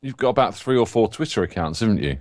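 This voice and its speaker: A man's voice: lowish voice, big pitch swings, fast talker, a little mellow, no room sound, studio quality. A man on a music review panel giving blunt verdicts with wry humor.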